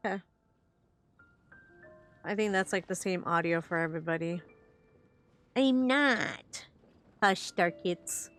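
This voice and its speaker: A woman's voice: fairly low pitch, slow, long pauses, fairly bright tone. A woman game streamer giving casual live commentary and reading along with the game. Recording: faint game music behind. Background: video game music.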